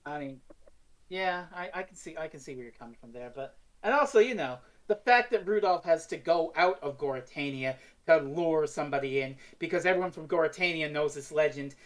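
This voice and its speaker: Female podcast reviewer, lowish voice, chatty, rambling, excitable. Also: a little fast. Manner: rambling, excitable, acts out mock quotes. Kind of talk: casual review discussion.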